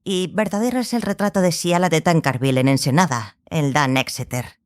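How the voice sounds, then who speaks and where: Lady playing a learned sorceress, firm and didactic, measured delivery, very dry recording. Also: sits low, no room sound, natural voice. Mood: didactic, regretful. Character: serious, scholarly sorceress, firm and knowledgeable woman. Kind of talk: audiobook drama acting.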